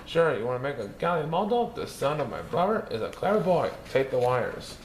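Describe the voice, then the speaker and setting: Lively man reading a storybook aloud. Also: brisk. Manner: lively, raising his pitch for characters' lines. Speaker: storybook reader. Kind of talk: reading a children's picture book aloud. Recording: echoey room with faint background noise.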